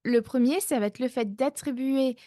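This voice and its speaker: Woman, 30s, life-coach podcast host, higher voice, relaxed chatty delivery, dry close recording. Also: moderate pace, no echo. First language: not English. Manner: chatty, self-mocking, many fillers. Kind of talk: casual podcast monologue.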